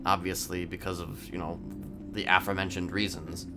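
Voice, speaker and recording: A man playing a halting, low-voiced, unhinged killer. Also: flat delivery, music in the background, close to an everyday voice. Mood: evasive, musing. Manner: halting, rambling, hesitant delivery. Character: male serial killer, unhinged, calmly boastful, evasive. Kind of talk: improvised crime roleplay, confessional conversation.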